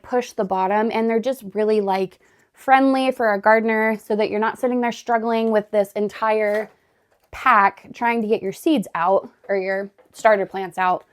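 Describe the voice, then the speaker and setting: A woman vlogging casually at home, still hoarse and raspy after being sick, chatting with lots of "like" and "really" as she sorts gardening supplies. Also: medium pitch. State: hoarse from recent illness. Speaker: homestead vlogger. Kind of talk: casual vlog chat. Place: indoors at home, sorting gardening totes.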